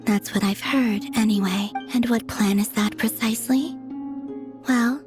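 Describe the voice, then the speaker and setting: A woman's voice: on the high side, a little mellow, faint music behind, close to an everyday voice. Serene, aloof young moon maiden played by a woman. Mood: detached. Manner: measured, formal, archaic phrasing, detached. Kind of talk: video game voice acting.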